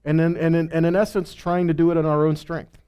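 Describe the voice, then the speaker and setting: A man's voice: a little high, flat delivery, quick pace, warm tone, smooth. A man giving an informal sermon as a Bible teacher, emphatic and often checking in with listeners through short rhetorical questions. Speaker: preacher and Torah teacher.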